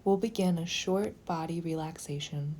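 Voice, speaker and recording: Low, mellow woman guiding a meditation. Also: a little hiss or hum. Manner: soothing, slow, gentle, with breathy near-whispered phrase ends. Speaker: guided meditation teacher. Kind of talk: guided meditation narration.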